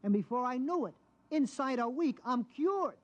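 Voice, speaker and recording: An actor playing a good-natured working-class bus driver, rambling and colloquial, in a 1950s radio drama. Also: male voice, extremely high pitch, lively intonation, moderate pace. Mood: enthusiastic, confiding. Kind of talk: 1950s science fiction radio acting. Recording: dull vintage radio recording.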